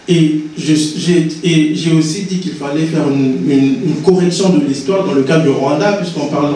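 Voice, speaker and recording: A man's voice: a little high, mellow, slight background noise. A Congolese-born man giving an earnest public talk as an invited author, often restarting his words. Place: conference hall. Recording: echoey hall, distant mic.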